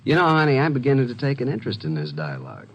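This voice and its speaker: An actor playing a wry, flirtatious detective's assistant who narrates breezily in 1950s radio drama style. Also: male voice, medium pitch, huge pitch swings, rapid-fire, lo-fi sound. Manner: wry, breezy, smooth-talking.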